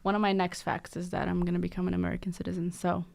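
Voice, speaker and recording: Chatty young woman, co-host, mid-range voice with some creak. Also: lowish voice, completely flat delivery, very fast, a little mellow. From Brazil. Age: 20s. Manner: chatty, giggly, lots of "like". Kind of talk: casual chat.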